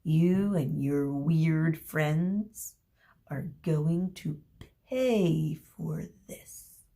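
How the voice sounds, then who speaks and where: A woman's voice: low voice, very slow, vocal fry, dark tone, full character voice. A woman reading a storybook in the part of snobbish, sneering schoolchildren, with a scornful drawl. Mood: threatening.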